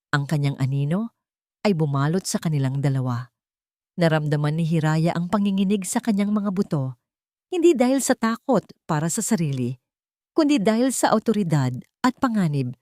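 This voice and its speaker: Woman with a low voice narrating a drama. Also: dark tone, dry recording, clean studio sound. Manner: measured, grave storytelling.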